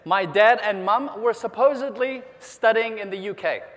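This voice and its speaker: A man with a high voice delivers a motivational keynote to a convention crowd. He keeps the audience involved with questions and calls for applause. His voice rings out with a long hall reverb. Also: moderate pace, a bit bright. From New Zealand. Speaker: motivational speaker.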